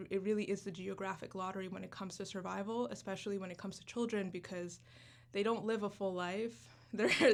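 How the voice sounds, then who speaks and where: A woman's voice: medium pitch, creaky. A woman in her twenties, raised in Canada after an early childhood in Uganda, talking earnestly as a guest in a radio interview, with many "like"s and "um"s. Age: 20s. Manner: earnest, talks quickly with fillers like "like" and "um". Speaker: student club founder, guest. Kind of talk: radio interview, casual chat.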